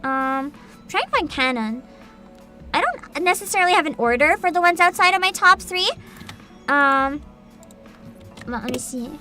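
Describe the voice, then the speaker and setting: A woman with a very high, swooping voice chats casually as a livestream host. Her tone is bright and crisp, and she drops little hums between thoughts as she shares her opinions. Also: expressive, normal speed, pauses often, faint music behind. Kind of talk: casual livestream chat, giving opinions to viewers.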